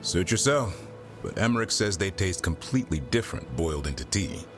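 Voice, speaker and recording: An actor playing a laconic monster hunter in a video game, speaking in a terse, dryly amiable way. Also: male voice, deep voice, sing-song, a little fast, music in the background. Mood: dry, easygoing. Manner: terse, understated, dry.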